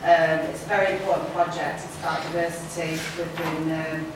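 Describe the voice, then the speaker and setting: A woman's voice: low-pitched, level tone, a little fast. A woman talking informally on a panel as a film producer and actor. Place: indoor event room at a film festival panel. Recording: echoey room from far off, noisy.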